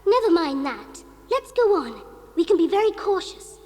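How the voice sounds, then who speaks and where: Adventurous small child, extremely high pitch, swooping intonation, eager, exclamatory, children's radio play, long reverb. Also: female voice, very animated, moderate pace, a little mellow. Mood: urging on. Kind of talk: children's audio drama acting.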